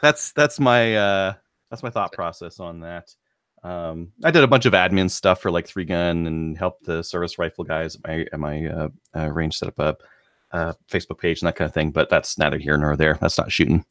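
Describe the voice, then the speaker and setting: A man's voice: low-pitched, fairly even intonation, normal speed, fairly bright tone, dry recording. A man co-hosting a podcast chat, explaining things plainly with dry humor. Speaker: podcast co-host. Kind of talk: casual chat.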